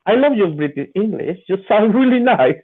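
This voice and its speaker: A Colombian man, a native Spanish speaker with a Colombian Spanish accent, joining as a guest caller, eager and halting, often repeating words. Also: sits high, big pitch swings. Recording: tinny phone-like call line.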